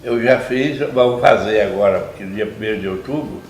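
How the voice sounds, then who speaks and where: Old brazilian guy in his 90s, slow and thoughtful, roomy echo, faint music. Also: on the low side. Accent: Brazilian Portuguese. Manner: slow, reflective, with small restarts. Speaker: interviewee, retired lab doctor. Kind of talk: reminiscing conversation. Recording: echoey room with faint music.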